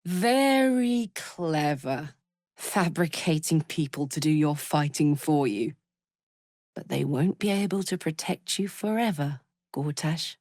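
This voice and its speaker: A woman's voice: sits low, expressive, slow pace, a little mellow, no room sound, full character voice. A woman voicing a brash, fiery horned warrior woman in a video game, talking bluntly and informally like a soldier, recorded dry. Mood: menacing. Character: brash, fiery female devil-horned warrior with an infernal engine heart. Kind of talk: video game character voice lines.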